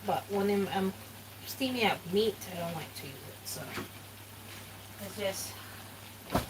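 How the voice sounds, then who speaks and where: Slow-talking woman from Ghana, cooking. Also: mid-range voice. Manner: chatty, thinking aloud while cooking. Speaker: cooking vlogger. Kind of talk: casual cooking commentary. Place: home kitchen. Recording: distant mic with kitchen noise.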